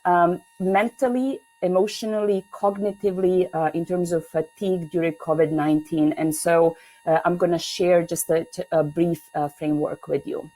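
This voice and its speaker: Keynote speaker: woman from Serbia, lowish voice, halting delivery full of fillers, heard over a video call. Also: steady tone, mellow, low-quality recording. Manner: hesitant, many fillers and restarts. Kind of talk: online conference keynote.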